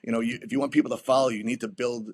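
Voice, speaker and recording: A man who is a guest on a business podcast, explaining his methods in a steady way while often repeating words as he restarts. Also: mid-range voice, rapid-fire. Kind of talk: interview answers on leadership.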